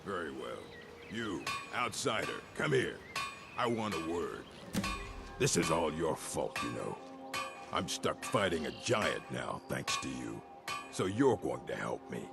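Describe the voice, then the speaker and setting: Very deep gravelly orc chieftain. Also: male voice, very animated, measured pace, full character voice. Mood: blaming, commanding. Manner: blunt, commanding. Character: gruff, proud orc chieftain, surly and demanding. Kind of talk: fantasy video game acting. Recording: game dialogue under loud music.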